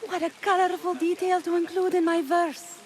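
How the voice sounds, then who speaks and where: A woman's voice: very high voice, little pitch movement, normal speed. A woman playing a flippant, theatrical poet and gossip in a video game, arch in manner, with crowd chatter behind. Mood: amused, theatrical.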